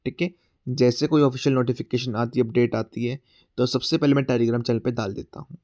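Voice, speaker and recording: A male current affairs teacher with a sore throat, going through exam quiz questions. He mixes Hindi and English, often says "theek hai", and reads the English question text slowly. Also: mid-range voice, steady tone, darkish tone, no room sound, studio quality. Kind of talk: exam-prep class, explaining quiz questions.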